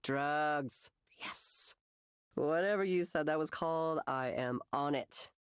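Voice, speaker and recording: A woman's voice: low-pitched, slow pace, clean tone, dry recording, clean studio sound. A woman playing a nervous, insecure young creative who rambles hesitantly in a visual novel game. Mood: wry. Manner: hesitant, rambling, self-conscious. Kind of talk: naturalistic visual novel voice acting.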